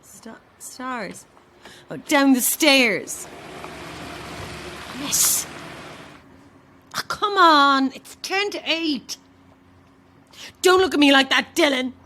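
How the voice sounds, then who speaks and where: A woman's voice: high-pitched, lively intonation, very slow, pauses often, bright, forceful tone, a little hiss or hum, full character voice. A woman playing a flustered, self-dramatizing struggling actress who rambles theatrically to herself in an audio drama. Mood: playful, theatrical. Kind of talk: modern audio drama acting.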